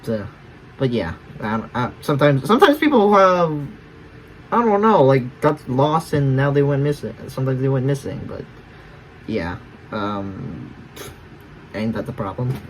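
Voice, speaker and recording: A man gives casual reaction commentary in a mid-pitched voice, with some noise in the recording. He rambles along and often corrects his own wording as he hosts. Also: sing-song, a little slow, poor audio. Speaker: reaction video host.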